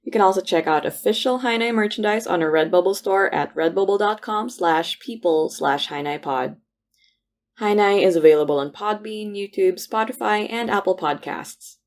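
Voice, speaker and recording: A woman's voice: medium pitch, moderate pace. A woman giving a scripted announcement as a podcast producer. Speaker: podcast co-producer reading credits. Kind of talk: scripted announcement, upbeat greeting.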